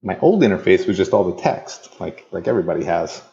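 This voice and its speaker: A man with a low voice who is a guest on a podcast, recorded with dull, lo-fi audio. He tells his story eagerly and laughs easily as he explains things. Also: very animated, a little fast, vocal fry, dark tone. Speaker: interview guest. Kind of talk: casual interview chat.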